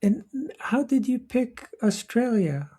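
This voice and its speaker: A woman hosting a podcast interview, with gentle, encouraging questions and lots of soft murmured agreement. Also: on the high side, expressive, slow pace, breathy edge. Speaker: podcast host. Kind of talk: interview conversation.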